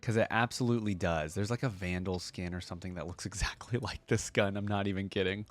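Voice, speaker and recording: A man's voice: sits low, steady tone, fast, faint music behind. A man hosting an off-the-cuff reaction video, talking informally and enthusiastically as he thinks aloud. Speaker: reaction video creator and host. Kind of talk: casual off-the-cuff reaction commentary.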